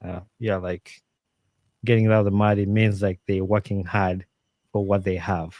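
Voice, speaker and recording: Earnest man, lowish voice. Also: normal speed, no room sound. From Uganda. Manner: earnest and animated, with frequent fillers and restarts. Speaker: podcast guest. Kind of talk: casual interview talk, giving advice.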